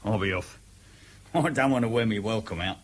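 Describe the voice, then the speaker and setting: Man acting a wily ex-convict in his fifties, husky, chummy, ingratiating, radio drama. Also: on the low side, normal speed, lightly acted. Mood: sly, familiar. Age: 50s. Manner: chummy, deferential, colloquial.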